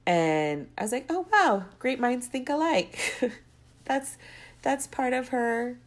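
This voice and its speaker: A woman's voice: fairly high pitch, lively intonation, slow. A young adult woman hosting her own podcast, stuffy and congested from a cold, rambling off on tangents and circling back. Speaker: podcast host. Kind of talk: casual solo podcast monologue.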